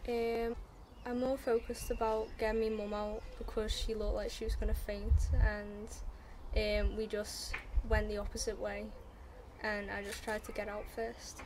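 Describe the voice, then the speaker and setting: A young female interviewee, giving a halting account as an eyewitness. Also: mid-range voice, level tone. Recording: noisy location interview sound.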